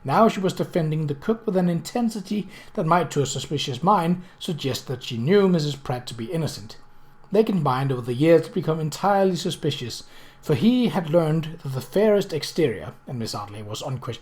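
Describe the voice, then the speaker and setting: Higher-voiced man reading a novel aloud. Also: darkish tone. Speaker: audiobook reader. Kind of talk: scripted narration of a novel.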